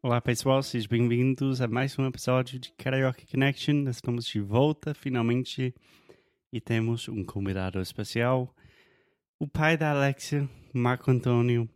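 A man's voice: mid-range voice, moderate pace, a little mellow, no room sound, studio quality. An American man whose first language is English, hosting a podcast and speaking Portuguese as a learner, with restarts and questions about words. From United States. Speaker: podcast host. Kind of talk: casual conversation.

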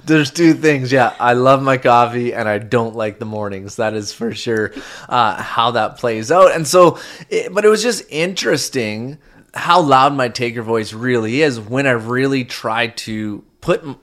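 A man's voice: medium pitch, unhurried, a bit bright. A young adult man co-hosting a podcast with his wife, telling stories in an earnest way with many restarts. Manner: earnest, storytelling, frequent restarts and fillers. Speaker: podcast co-host. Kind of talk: casual conversation with spouse.

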